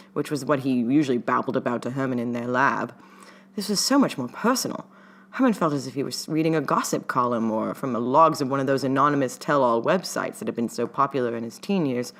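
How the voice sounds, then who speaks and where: A woman's voice: sits low, fairly bright tone. A woman reading a scripted story aloud as its narrator and author. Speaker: author reading own fan fiction.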